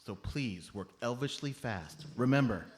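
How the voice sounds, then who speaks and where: A man's voice: mid-range voice, lively intonation, unhurried, a little hiss or hum, close to an everyday voice. A man playing a tiny, solemn elf foreman with a long-winded official manner in a live comedy radio play. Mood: pressured, serious. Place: live on stage at a comedy club.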